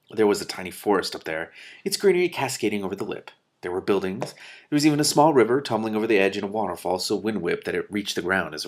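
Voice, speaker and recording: A man reading a book aloud expressively as host, breaking in with his own animated, off-the-cuff commentary. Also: fairly low pitch.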